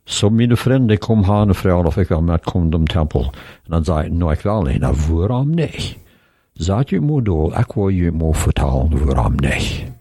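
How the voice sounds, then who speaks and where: A man in his forties with a Mennonite Low German accent talks through a Bible verse and keeps searching for the right words. His voice is low and dark, and his pitch swings a lot. The recording is dry and close. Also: big pitch swings, normal speed, no room sound. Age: 40s. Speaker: discussion participant. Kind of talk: casual Bible discussion.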